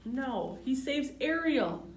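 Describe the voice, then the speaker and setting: Slow, lilting woman reading to children, roomy sound. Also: high voice. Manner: simple short sentences, expressive, addresses children with questions. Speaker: library storytime host reading picture books. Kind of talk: children's read-aloud with asides to young listeners. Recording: roomy, distant mic, faint background noise.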